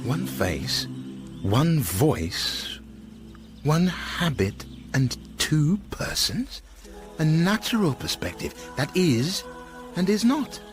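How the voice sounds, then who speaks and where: An actor playing a noble, lovestruck count in a radio Shakespeare drama, with measured, formal delivery. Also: male voice, fairly high pitch, sing-song. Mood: wonder. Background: music.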